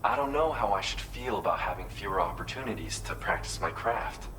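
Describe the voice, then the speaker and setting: A man's voice: sits low, lively intonation, fairly quick, bright, forceful tone. An actor playing a wistful, proud architect in a video game, speaking earnestly and reflectively as he explains his craft. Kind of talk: video game cutscene dialogue. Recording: clear background noise under the voice.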